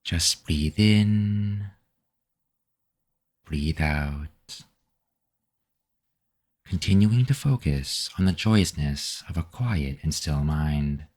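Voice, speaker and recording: A man leading a guided meditation in a calm, measured, soothing manner. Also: very deep voice. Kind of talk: slow guided meditation narration.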